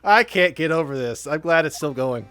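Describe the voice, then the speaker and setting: A man's voice: on the high side, moderate pace, clean tone. A man casually reacting during video game play, playful and conversational. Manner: playful, animated. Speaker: guest co-player.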